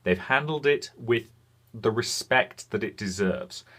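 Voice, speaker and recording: A man hosting a video game review, speaking frankly in semi-scripted commentary with deliberate pauses for emphasis. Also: mid-range voice, pushed and bright.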